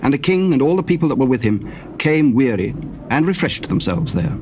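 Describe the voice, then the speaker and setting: A man's voice: mid-range voice, very animated, brisk. A man narrating scripture as a solemn, scripted story on a noisy old tape.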